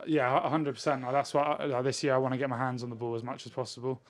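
A man, a rugby player answering questions in a post-match interview in a measured way. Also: medium pitch, little pitch movement. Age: 20s. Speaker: professional rugby player, winger. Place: indoors in front of a sponsor backdrop. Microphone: handheld interview microphone held at chest height.

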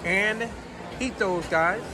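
A man in his thirties vlogging about food, talking into a phone at arm's length with plenty of excited exclamations. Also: high-pitched, slow, very noisy. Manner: enthusiastic, exclaims wow often. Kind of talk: casual vlog. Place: busy indoor restaurant. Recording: loud restaurant crowd and music behind.

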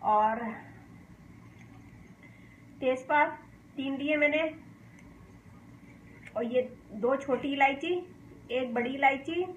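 A high-pitched woman from Pakistan walks viewers through a recipe in her home kitchen, explaining each step in a calm, homely way. The kitchen sounds echoey, and a faint hum runs underneath. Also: takes it slowly, pauses often, lo-fi sound. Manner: calm, homely explaining, often saying "dekhiye ji". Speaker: cooking channel host. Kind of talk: step-by-step cooking demonstration.

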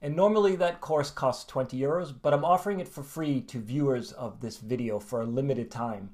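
American man teaching, slow careful modeling. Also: medium pitch. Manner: lively, gesturing, slows right down to model words. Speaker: English teacher. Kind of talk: video lesson to camera. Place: small room at home, plain wall.

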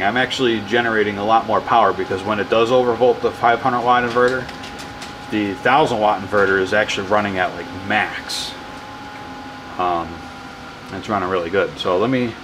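A man casually explaining his wind turbine setup in a workshop, rambling and correcting himself with excitement. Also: fairly low pitch. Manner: enthusiastic, rambling, self-correcting. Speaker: hobbyist wind power vlogger. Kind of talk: casual off-the-cuff demo narration.

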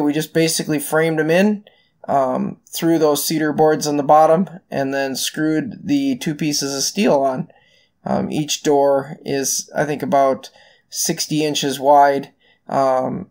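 A middle-aged man, hosting a casual do-it-yourself farm project voice-over in a relaxed, folksy way with many ums. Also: on the high side. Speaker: farm owner and channel host.

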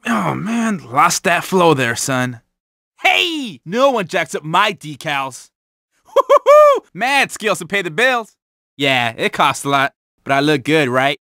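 A man's voice: on the high side, wildly swooping pitch, takes it slowly, pushed and bright, no echo, clean studio sound, full character voice. A man voicing a vain, cocky young street-racer car in a video game, talking in boastful slang with lots of exclamations. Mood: excited. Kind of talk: video game character barks.

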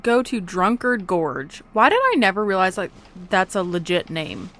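A woman's voice: medium pitch, big pitch swings, moderate pace, fairly bright tone, soft background music. Casual female let's player, young adult, mid-range voice, playful rambling self-talk. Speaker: gaming YouTuber. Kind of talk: casual let's play commentary.

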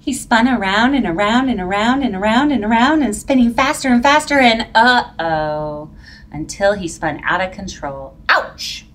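A middle-aged woman reading a children's picture book aloud in an expressive, dramatic, drawn-out way. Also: a little high. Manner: animated, drawn-out, dramatic delivery for young children. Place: plain-walled indoor room. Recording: voice picked up from a distance.

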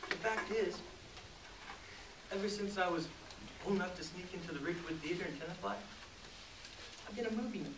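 An actor performing a stage monologue as a boastful, self-pitying Hollywood producer, theatrical and halting in delivery. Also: male voice, fairly high pitch. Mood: smug, confiding. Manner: theatrical, halting, swinging from hushed to shouting. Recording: roomy, distant mic, loud background noise.

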